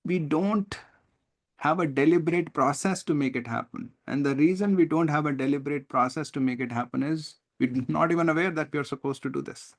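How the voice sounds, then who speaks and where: A man with an Indian accent teaching a live online lesson, stressing key words deliberately and checking in with questions. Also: on the high side. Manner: deliberate, emphatic word-by-word stress, rhetorical questions. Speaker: teacher, test-prep coach. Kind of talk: live online lesson, explaining reading comprehension.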